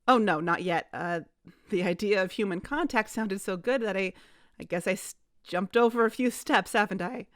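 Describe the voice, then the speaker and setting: A woman's voice: medium pitch, normal speed, fairly bright tone, no room sound, studio quality, natural voice. A woman playing an earnest amateur investigator in a scripted podcast drama, speaking conversationally. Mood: nervous, polite.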